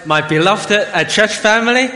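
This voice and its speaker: A man with a Hong Kong accent giving an informal, jokey, halting sermon as a lay minister to a church congregation. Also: high voice, expressive, pushed and bright. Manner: halting, jokey, self-deprecating. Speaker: assistant lay minister preaching. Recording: long hall reverb.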